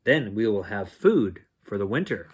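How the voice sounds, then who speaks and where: A patient, practical mother from a picture book , voiced by a man, gives gentle instructions to her child. The voice is a little husky and rises and falls expressively. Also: on the low side, big pitch swings, unhurried, full character voice. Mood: matter-of-fact.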